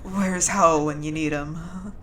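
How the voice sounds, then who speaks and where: A woman acts out a grieving member of a noble fantasy house, speaking at a funeral in an audio drama. The voice is on the low side and very slow, solemn and measured. A faint rumbling noise sits in the recording. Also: steady tone. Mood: grieving, halting. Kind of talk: audio drama eulogy with solemn scripture-like reading.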